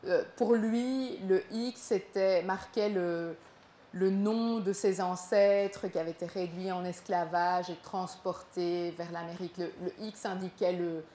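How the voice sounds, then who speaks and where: A young woman who grew up in Belgium, telling her own story to a small audience in a gallery room, halting and repeating words as she goes. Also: fairly low pitch, fairly even intonation, dark tone, echoey room, a little hiss or hum. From Congo, raised in Belgium. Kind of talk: live spoken performance.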